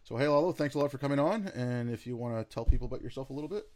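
A man's voice: medium pitch, very fast, a little mellow. A man hosting a podcast in a casual, rambling way, full of "like" and "you know". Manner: rambling, filler-heavy, lots of "like" and "you know". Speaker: podcast host. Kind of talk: casual conversational interview.

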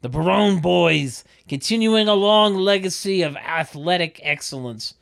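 A table reader acts out a boastful older sitcom father. He is loud and hearty, pitched up high, and barks his words in emphatic repeats. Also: lively intonation, a little slow, gravelly. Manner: hearty, barking, emphatic repetitions. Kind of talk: comedic sitcom table read.

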